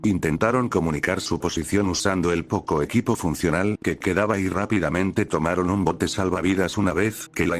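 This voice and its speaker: A man reading a scripted horror story as the channel's narrator, with a steady, measured delivery. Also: low-pitched.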